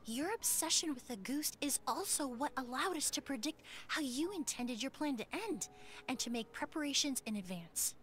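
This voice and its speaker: An actress voicing a calm, composed young woman perfumer who lays out the case in a video game, over game music. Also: high voice, moderate pace.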